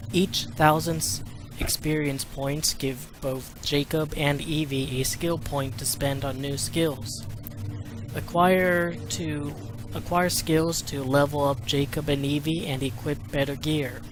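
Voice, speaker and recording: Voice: mid-range voice, background noise. A person giving casual commentary and walkthrough on a video game they are playing. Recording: game music behind the voice. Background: video game music and sounds.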